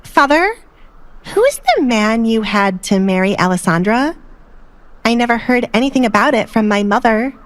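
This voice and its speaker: A woman's voice: a little high, lively intonation, normal speed, pitched above the performer's own voice, lightly acted. A woman voicing a spoiled, scheming young noblewoman in an audio drama, sulky and falsely meek. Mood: sulky, eager. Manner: sulky, put-on contrition.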